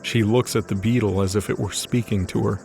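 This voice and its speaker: A man's voice: fairly low pitch, steady tone, a little fast, creaky, dark tone, faint music behind. A man giving scripted narration for a dramatized fantasy story.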